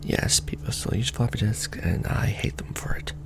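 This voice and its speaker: A man playing a casual, wry secret-society intake clerk in a soft-spoken, half-whispered ASMR roleplay, deadpan and rambling. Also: moderate pace, close to an everyday voice. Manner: deadpan, rambling, mumbling to himself over paperwork. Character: casual, wry male Illuminati recruitment clerk doing intake paperwork.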